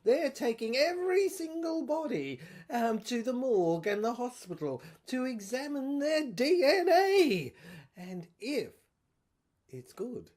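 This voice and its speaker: A woman's voice: fairly low pitch, a little mellow, exaggerated voice. Woman doing a pompous moustachioed army general in an audio play, ruthless, barking orders like an old chum. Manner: clipped commands, hearty "old boy" address. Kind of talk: serial audio drama acting.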